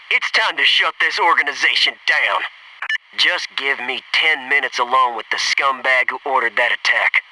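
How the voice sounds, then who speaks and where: A cocky American Navy SEAL squadmate from a combat video game. His voice sits high and swings widely in pitch, with slangy, wisecracking delivery. It comes through a radio filter with clear background noise. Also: bright, forceful tone, distant mic, poor audio. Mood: grim, vengeful. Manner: cocky, joking, slangy, barks urgent callouts.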